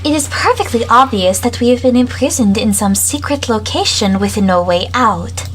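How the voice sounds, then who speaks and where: A woman's voice: on the high side, animated, normal speed, slight background noise, natural voice. A woman voicing a haughty, unruffled teenage girl, formal and condescending, in an anime game fan dub. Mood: serene, superior.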